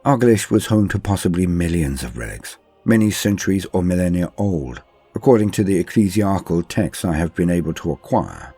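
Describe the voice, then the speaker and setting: A man giving a solemn, measured dramatic reading of science-fiction war lore in a formal storytelling style. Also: deep voice, lively intonation, warm tone, husky, dry recording, soft background music. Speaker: narrator.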